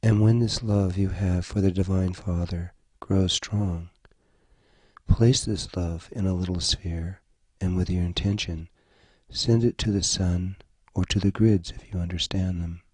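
Low, husky man guiding a meditation. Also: fairly even intonation, unhurried, a little mellow, no room sound. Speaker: guided meditation leader. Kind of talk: slow guided meditation narration.

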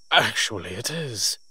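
Man as a husky, strained old vampire. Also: lowish voice, bright, forceful tone, no echo, full character voice. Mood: wry, urgent. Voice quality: breathy, raspy. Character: ancient male vampire father, weakened, urgent, protective. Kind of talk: audio roleplay acting.

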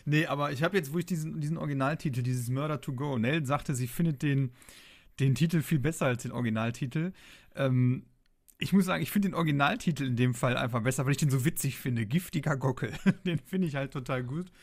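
Male co-host, 30s. Medium-pitched voice, informal conversational podcast delivery. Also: fast. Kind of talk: casual podcast chat.

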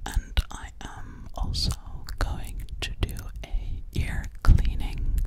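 A young woman doing ASMR in a breathy whisper right up at the microphone, gently repeating words over and over. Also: slow pace. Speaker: ASMR creator. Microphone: close to a microphone with foam windscreen.

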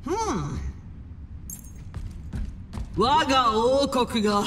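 An actor voicing a haughty, imperious ruler in a scripted game line. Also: male voice, very high-pitched, expressive, drawn-out words, fairly bright tone, distant mic, slight background noise, full character voice. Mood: haughty, proud. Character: haughty, imperious ruler surveying his kingdom.

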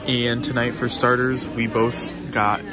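Young man vlogging over loud music. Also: medium pitch, little pitch movement, a little slow. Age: 20s. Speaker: travel vlogger. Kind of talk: casual vlog narration.

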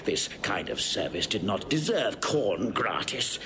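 Proud Roman warrior, classical declamation, contemptuous, swooping delivery, slow pace, faint background noise. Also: male voice, fairly low pitch, big pitch swings. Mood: scornful, impassioned. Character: proud, scornful Roman warrior nobleman, furious at commoners. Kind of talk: classical Shakespearean stage acting. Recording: restored old recording, audible background noise.

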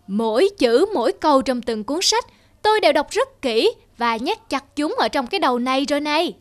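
A woman voicing a pompous, greedy rat in an animated fable, boastful and condescending. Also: high voice, a little fast. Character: pompous, sly, greedy library-dwelling rat. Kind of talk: animated fable character acting.

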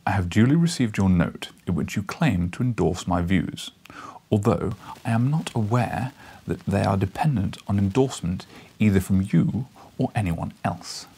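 A man voicing a pompous, scornful, overbearing professor with a haughty, deliberate, biting delivery, as in an audiobook. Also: fairly low pitch, expressive, gravelly, warm tone, breathy edge, exaggerated voice.

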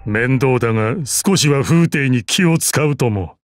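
Man acting a gruff old martial arts master, close and dry. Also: medium pitch, animated, unhurried, no room sound, natural voice. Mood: amused, resolute. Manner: archaic old-man speech, gruff dignity, dry amusement. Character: proud, battle-hardened old martial arts master assassin. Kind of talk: Japanese mobile game character voice lines.

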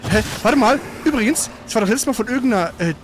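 A high-voiced man comments live on the video game he is playing. He talks casually to a co-player, dropping exclamations, curses and grumbles, with clear noise behind him. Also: expressive, fast talker. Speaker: gaming streamer playing and commenting.

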